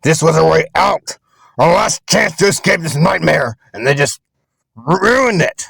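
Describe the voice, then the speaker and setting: A man acting as a frantic, vengeful man in a game cutscene, ranting with a raspy, creaky voice. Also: medium pitch, very animated, measured pace, dry recording, studio quality, exaggerated voice. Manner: ranting, emphatic repetition. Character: frantic, vengeful man raging at ruin of plans.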